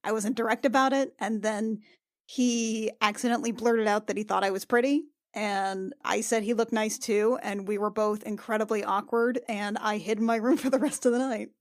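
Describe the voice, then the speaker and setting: A woman playing a frank, teasing young healer in improvised tabletop roleplay, speaking casually and haltingly and swearing freely. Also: mid-range voice, steady tone, no echo, studio quality, natural voice. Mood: awkward, amused.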